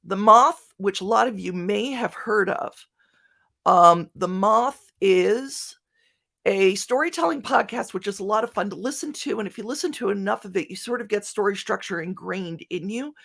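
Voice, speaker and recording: Chatty woman presenter with creaky voice. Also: medium pitch, fairly bright tone. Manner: chatty, self-deprecating, joking asides. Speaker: conference speaker, writer and podcaster. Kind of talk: informal conference presentation and question answering. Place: online video conference talk.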